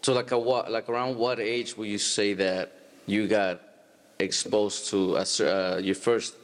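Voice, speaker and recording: A man in his thirties from Stockton, California, hosting a church podcast interview in a halting, casual way with many restarts. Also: lowish voice, level tone. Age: 30s. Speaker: podcast host. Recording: long hall reverb.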